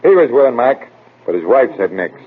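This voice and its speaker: An actor playing a defensive, blunt baseball manager with wry, slangy talk in a 1940s radio drama. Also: male voice, mid-range voice, sing-song, a little fast, breathy edge. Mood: dry, frank. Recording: tinny old radio broadcast.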